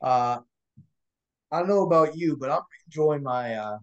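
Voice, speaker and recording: A man's voice: a little high, animated, moderate pace, highs cut off. A young man from Michigan co-hosting a casual student chat, rambling a little with plenty of "like" and "um" and laughing easily. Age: young adult. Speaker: co-host.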